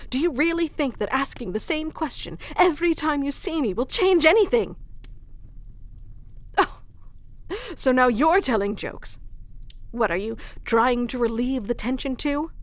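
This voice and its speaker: Fantasy queen, female actor, audio roleplay: high, very expressive, unhurried, proud yet wounded and earnest. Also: no echo. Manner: earnest, deliberate, emotionally charged delivery. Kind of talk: dramatic audio roleplay acting.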